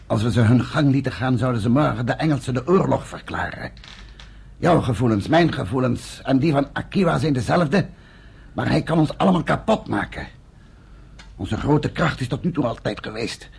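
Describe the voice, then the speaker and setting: A sober underground commander in a radio play. His voice is mid-pitched, very husky and gravelly. He speaks earnestly, in a measured, persuasive manner. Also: animated, a little mellow, full character voice. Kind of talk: Dutch radio play acting.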